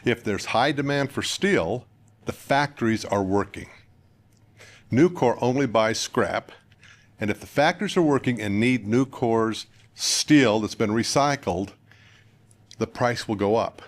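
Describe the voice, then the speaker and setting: An older American man from northern Utah, a guest candidate giving plain-spoken, conversational interview answers in measured phrases. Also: mid-range voice, lively intonation. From northern Utah, United States. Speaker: political candidate, podcast guest.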